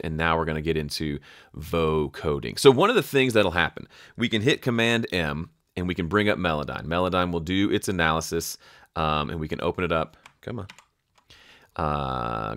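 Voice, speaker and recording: A man's voice: low voice, animated, moderate pace, a bit bright, no echo, clean studio sound. A man hosting an audio production tutorial in casual, conversational talk, thinking aloud as he goes.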